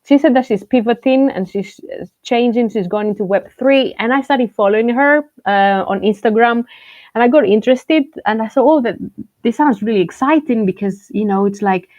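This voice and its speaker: A woman's voice: on the high side, normal speed, darkish tone, no echo, dull audio. A woman from Greece, a journalist and content creator, chatting casually as a guest with many fillers and restarts. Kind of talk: casual interview chat.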